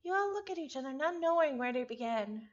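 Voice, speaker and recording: Girly super high voice, woman reading a story out loud on stream, stumbles and repeats, kinda muffled audio. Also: expressive, quick pace. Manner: stumbles over words and repeats them. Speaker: visual novel reader, streamer. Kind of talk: live read-aloud of a story with casual asides.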